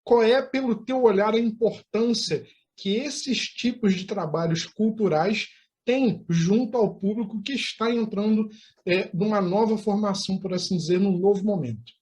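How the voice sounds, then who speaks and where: A man's voice: sits high, moderate pace, darkish tone. A man with a Brazilian accent hosting a conversational interview, phrasing things in a wordy, formal way. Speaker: interview show host.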